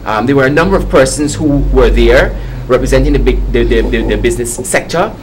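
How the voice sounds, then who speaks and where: With faint noise behind him, a young man speaks at a medium pitch. He gives formal, measured answers as a student leader and panel guest, choosing diplomatic wording. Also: lively intonation, poor audio.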